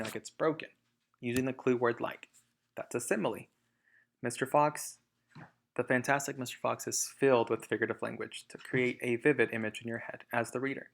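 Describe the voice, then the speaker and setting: Expressive man reading a storybook aloud. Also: mid-range voice. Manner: expressive, emphatic reading, explanatory asides. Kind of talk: storybook read-aloud with teaching asides.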